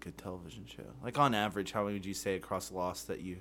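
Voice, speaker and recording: A man's voice: deep voice, steady tone, quick pace, fairly bright tone, a little hiss or hum. Joking man in casual chat. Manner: joking, crude, says "you know what I mean" often. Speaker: podcast co-host.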